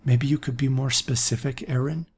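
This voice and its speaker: A gentle young woman who speaks softly, as a narrator puts her on. The tone stays husky and gravelly underneath. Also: mid-range voice, steady tone, normal speed. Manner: gentle and soft. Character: soft-spoken young woman.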